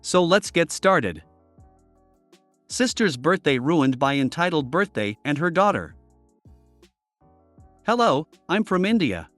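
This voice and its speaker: Male narrator for reddit story readings, higher register, dry close mic, faint music bed. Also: sing-song, slow, long pauses, fairly bright tone, dry recording. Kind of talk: scripted narration reading posts.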